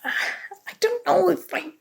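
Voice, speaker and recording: A woman's voice: very high-pitched, lively intonation, slow pace, gravelly, exaggerated voice. A woman acting as a nerdy, flustered college student in an intimate ASMR roleplay, speaking hesitantly and emotionally with stammers. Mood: shocked, flustered. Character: nerdy, flustered young female college student confessing a crush.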